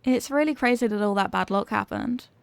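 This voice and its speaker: A woman's voice: medium pitch, brisk, creaky, no room sound, natural voice. A woman playing an evasive, flustered popular cheerleader being tutored, in an acted ASMR roleplay with a hesitant, defensive delivery. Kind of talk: ASMR roleplay, acted one-sided conversation.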